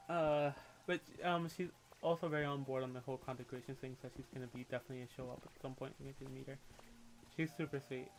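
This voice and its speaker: A man's voice: medium pitch, a little hiss or hum, poor audio. Opinionated young Puerto Rican man. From Puerto Rico. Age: young adult. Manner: candid, opinionated, quick to rant, with casual swearing. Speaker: livestreamer. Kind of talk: casual livestream chat and rant.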